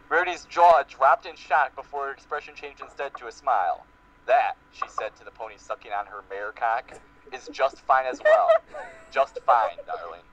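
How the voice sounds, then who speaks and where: A man's voice: mid-range voice, fairly bright tone. A man giving an amateur dramatic reading of fan fiction as part of a group. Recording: muffled lo-fi voice-chat recording.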